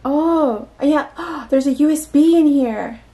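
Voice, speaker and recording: High-pitched, giggly woman vlogger. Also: slow, dark tone. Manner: giggly, exclaims often, talks to herself. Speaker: unboxing vlogger. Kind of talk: casual unboxing chat. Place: indoors at a tabletop.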